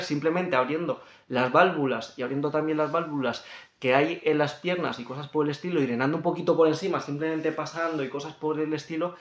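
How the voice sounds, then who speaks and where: This is a man with a European Spanish accent and a fairly high, noticeably creaky voice. He explains things informally, like a coach instructing listeners, running his sentences on and circling back to reminders. The room around him is clearly echoey. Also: fairly bright tone, lo-fi sound. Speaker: fitness coach presenting a training guide. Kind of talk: informal explanatory talk to camera.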